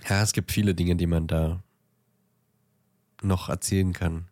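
A man's voice: low voice, fairly even intonation, a little slow, darkish tone, dry recording. A man co-hosting a podcast in casual, teasing, mock-serious banter. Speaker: podcast co-host. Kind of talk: casual banter between co-hosts.

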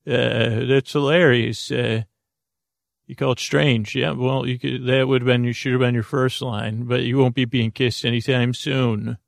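A man hosting a podcast, rambling through a playful, meandering intro full of restarts and deadpan jokes. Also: medium pitch, a little slow, creaky, dry recording, clean studio sound. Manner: meandering, self-interrupting, playful deadpan humor. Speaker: podcast host.

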